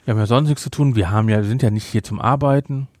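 A man's voice: mid-range voice, racing, a little mellow, no room sound, clean studio sound. A man co-hosting a podcast, chatting casually as he reviews an audio drama in a sarcastic, exasperated, nitpicking manner. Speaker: podcast co-host.

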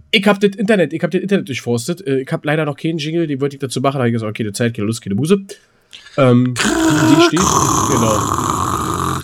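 A man with a German accent co-hosting a casual podcast, explaining things and putting questions to his partner and the listeners. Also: mid-range voice. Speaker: podcast co-host.

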